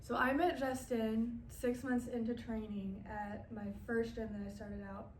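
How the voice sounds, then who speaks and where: A woman's voice: a little high, little pitch movement, a little slow. A woman, a podcast guest telling a story in a chatty way and laughing as she goes. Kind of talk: casual storytelling. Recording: echoey room, mic at a distance, faint noise.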